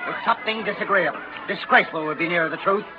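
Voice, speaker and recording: An actor playing a self-righteous middle-aged storekeeper in a 1930s radio play, speaking indignantly. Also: male voice, sits high, fast, a crowd in the background, noisy background, lightly acted. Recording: muffled 1930s radio recording.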